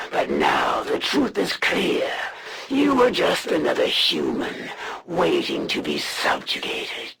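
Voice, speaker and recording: A proud, menacing female alien assassin from a video game. Her voice is heavily creaky and swings widely in pitch as she delivers formal, theatrical taunts. She speaks slowly and deliberately, cold and full of wrath. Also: noisy background, full character voice. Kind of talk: video game villain voice lines.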